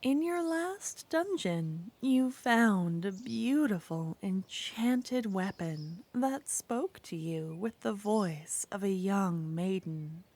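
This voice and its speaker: A woman narrating an ASMR roleplay story in a soft-spoken, gently whispering manner. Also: medium pitch.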